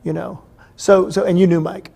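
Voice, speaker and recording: Host, male, 50s, high gravelly voice, lively storyteller, big reverberant studio. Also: normal speed. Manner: animated storytelling with lively gestures. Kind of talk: casual interview chat. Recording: long reverb of a big room.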